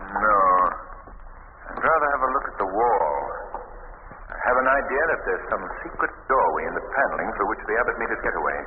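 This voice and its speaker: An actor playing a composed gentleman sleuth with measured, formal delivery in an old radio drama. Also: lowish voice, expressive, lightly acted. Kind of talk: 1940s radio acting. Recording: tinny, noisy old radio recording.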